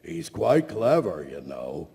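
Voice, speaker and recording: A creaky, wildly swooping robot butler, prim and anxious in a live parody. The words come slowly and politely, with long hall reverb. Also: male voice, low voice, very animated, warm tone, heavily acted. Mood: fretful. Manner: prim, fretful, exaggerated. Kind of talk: live comedic script reading. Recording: echoey convention hall.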